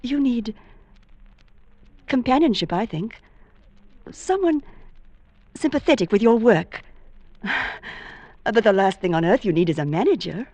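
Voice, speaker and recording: An actress playing a lonely, coy bookshop spinster in an old-time radio drama, gushing politely. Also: female voice, medium pitch, expressive, a little slow, pauses often, a little mellow, no room sound. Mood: sympathetic, coaxing.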